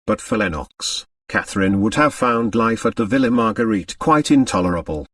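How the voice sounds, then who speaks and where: A man's voice: on the low side, animated, moderate pace, a bit bright, distant mic. A man reading a novel aloud as a scripted audiobook narration.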